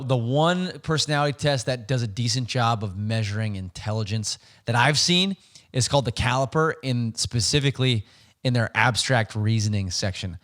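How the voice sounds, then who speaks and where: A man hosting a podcast interview, easygoing, offering short affirmations and relaxed comments. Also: medium pitch. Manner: easygoing, brief affirmations while listening. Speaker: podcast host.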